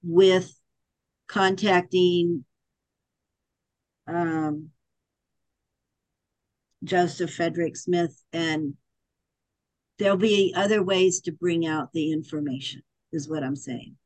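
A woman leading a casual group discussion, speaking hesitantly with frequent pauses and fillers. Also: lowish voice, fairly even intonation, darkish tone, no room sound, rough recording.

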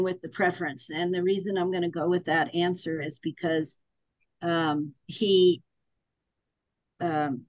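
A woman guides a group discussion in a slow, unhurried voice that sits a little low. She pauses often and fills gaps with hesitant fillers. The sound is dry and close. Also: fairly bright tone, no room sound, poor audio.

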